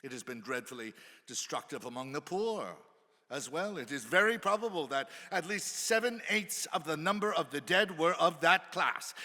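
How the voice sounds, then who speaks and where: A man giving a formal, theatrical dramatic reading of an old historical account, with emphatic phrasing and weighted pauses. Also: fairly high pitch, bright, forceful tone. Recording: reverberant hall with long echo.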